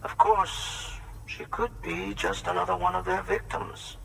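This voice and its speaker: Reclusive shelter dweller, wary, video game dialogue, slowish, slightly distant, noisy background. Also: male voice, high-pitched, very animated, creaky, a bit bright, heavily acted. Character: guarded, reclusive male shelter dweller, grateful but distrustful.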